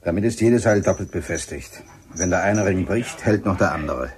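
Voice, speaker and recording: An actor playing an experienced, matter-of-fact cave explorer in a German radio play. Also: male voice, sits low, normal speed, a crowd in the background, close to an everyday voice. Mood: earnest, matter-of-fact.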